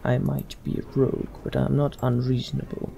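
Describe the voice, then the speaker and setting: A man's voice: mid-range voice, completely flat delivery, brisk, gravelly, dark tone, a little hiss or hum. A man roleplaying a reserved, blunt young noble as he plays a fantasy game, in an understated, terse manner. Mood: matter-of-fact. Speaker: let's play streamer. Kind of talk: in-character game roleplay, reading dialogue. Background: faint music.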